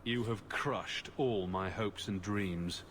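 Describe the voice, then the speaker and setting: An actor playing a witty, roguish fantasy hero in a video game, sarcastic and playful. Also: male voice, on the low side, takes it slowly, a bit bright, slight background noise. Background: game music.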